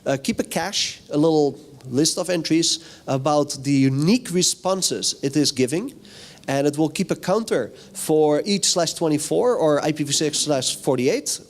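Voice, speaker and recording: A man's voice: a little high, a little mellow. Dutch man giving a casual tech talk. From Netherlands. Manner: casual, chatty, asks the audience questions. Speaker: conference speaker. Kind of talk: technical conference talk. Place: hotel conference room.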